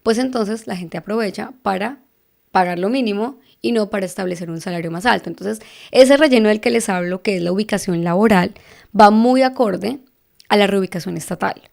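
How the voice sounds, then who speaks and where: A woman's voice: medium pitch, brisk, gravelly, darkish tone. A woman in her thirties from Colombia with a Bogotá accent, hosting a podcast and explaining in a chatty way, often checking with listeners. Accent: Bogotá Colombian Spanish. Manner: chatty, asks listeners for agreement with frequent tag questions. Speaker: podcast host. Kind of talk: casual explanatory podcast talk. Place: living in Texas.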